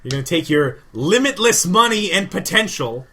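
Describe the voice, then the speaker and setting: A man chatting casually as a podcast co-host, rambling in an animated way with lots of filler "like". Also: high voice, normal speed, a little hiss or hum. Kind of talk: casual group chat.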